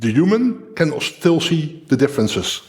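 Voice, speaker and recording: A man's voice: fairly high pitch, lively intonation, moderate pace. A man with a Dutch accent, a non-native English speaker, explaining cybersecurity matters in casual conversation with frequent fillers. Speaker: cybersecurity researcher guest. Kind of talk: conversational discussion.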